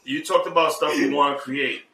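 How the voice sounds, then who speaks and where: Joking podcast host in an echoey room. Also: male voice, a little high, fairly even intonation, a little fast, pushed and bright. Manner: stumbles and repeats words, joking. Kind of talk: casual chat. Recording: echoey room, mic at a distance.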